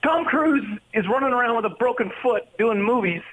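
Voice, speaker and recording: A man's voice: extremely high pitch, expressive, fairly quick, fairly bright tone, rough recording. A man chatting casually as an interview guest, joking at his own expense and acting out his anecdotes. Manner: self-deprecating, joking, acts out his stories. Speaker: comedian and actor, interview guest. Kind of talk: animated anecdote-telling in casual radio interview.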